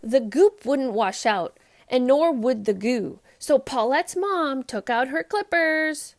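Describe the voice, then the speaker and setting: A woman who hosts a children's read-aloud show, narrating a storybook in an expressive, emphatic way for young listeners. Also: on the high side.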